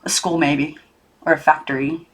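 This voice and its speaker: A woman reading a children's novel aloud as an audiobook narrator, in an expressive storybook style. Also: low voice, normal speed, low-quality recording. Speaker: audiobook reader.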